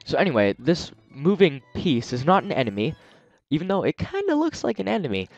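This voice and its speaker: A man hosting a let's play, chatting casually and explaining as he plays, his voice a little raspy and scratchy from a sore throat. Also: on the high side, wildly swooping pitch, brisk, highs cut off, faint music behind. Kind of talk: casual gameplay commentary.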